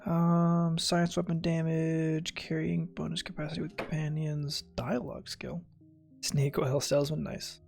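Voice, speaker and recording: A man casually commentates over his own gameplay. His voice has a lot of vocal fry, and his remarks are wry and sarcastic. Faint music plays behind him. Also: a little high, little pitch movement, unhurried, a little mellow. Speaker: gamer streaming a playthrough. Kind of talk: casual game commentary, muttering and reading stats aloud. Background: game music.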